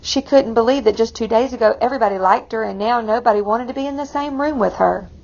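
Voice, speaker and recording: A woman with a fairly high, gravelly-edged voice reads a picture book aloud to young children. Her delivery is expressive and she adds simple explanations as she goes. The recording is dull and lo-fi. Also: fast talker. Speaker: read-aloud storyteller for children.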